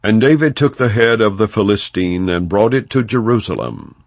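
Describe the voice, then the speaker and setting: A man with a low voice narrates scripture for an audiobook. His delivery is solemn and emphatic, with a wide, animated rise and fall, in a very dry recording. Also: normal speed, creaky, no room sound. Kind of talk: scripted scripture narration, non-dramatized reading.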